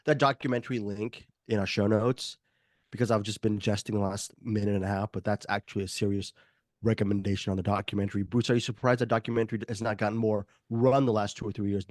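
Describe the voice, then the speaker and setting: A man hosting a movie podcast over a video call, hoarse from a cold, joking and laughing through rambling questions to his co-hosts. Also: lowish voice, fast talker, a little mellow, no room sound, clean studio sound. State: raspy voice from a cold. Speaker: podcast host.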